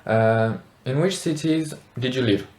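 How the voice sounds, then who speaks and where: A man's voice: mid-range voice, a little slow, a little mellow. A man interviewing someone, asking brief plain questions with the odd filler. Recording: roomy echo.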